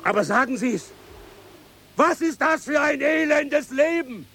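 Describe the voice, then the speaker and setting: A man's voice: very high voice, lively intonation, a little slow, bright, forceful tone, heavily acted. A man acting a dour, grumbling island coachman in a German radio drama. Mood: bitter, apologetic.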